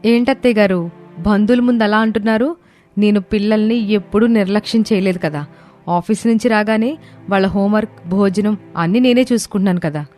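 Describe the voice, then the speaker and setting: A woman's voice: mid-range voice, brisk, a little mellow, soft background music, close to an everyday voice. A woman voicing an earnest young working daughter-in-law who defends herself in a pleading, protesting manner in a moral story. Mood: hurt, protesting. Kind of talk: animated moral story dialogue.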